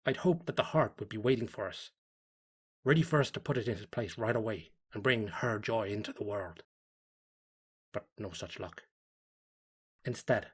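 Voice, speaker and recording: A man playing a fervent cult devotee confiding in a diary, in solemn, measured horror story narration. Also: fairly low pitch, slightly rough. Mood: grave, devout.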